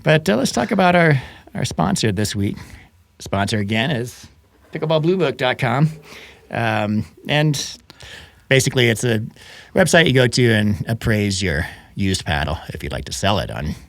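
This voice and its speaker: A man hosting a casual podcast, a little hoarse from a head cold, chatty and quick to laugh. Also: mid-range voice, very animated, no echo. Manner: chatty, laughs often, frequent restarts. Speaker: podcast host.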